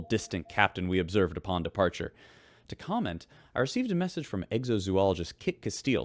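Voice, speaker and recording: A man's voice: on the low side, dry recording. A man playing a wry, chatty radio host aboard a spaceship, reading news in a scripted sci-fi audio drama.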